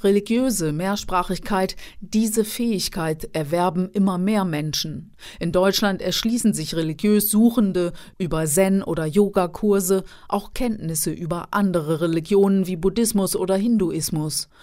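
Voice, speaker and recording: A woman, a radio reporter, reading a scripted feature narration in an even, informative way. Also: fairly low pitch, a little slow, few pauses.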